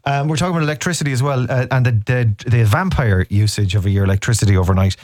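A man's voice: mid-range voice, quick pace, a little mellow, no echo, studio quality. Rambling radio host man. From Ireland, Cork. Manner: rambling, jokey, self-interrupting. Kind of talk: casual radio chat. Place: radio studio. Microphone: studio microphone.

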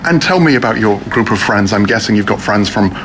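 A man's voice: fairly low pitch, animated, brisk, background noise. A man reporting for radio, asking guests friendly, leading questions. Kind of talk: on-location interview.

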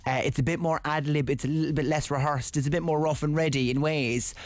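A man's voice: a little high, steady tone, fast talker, no echo. A man with a Cork Irish accent, a radio newsreader chatting as a guest in a loose, rambling way with many fillers and restarts. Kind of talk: casual radio chat.

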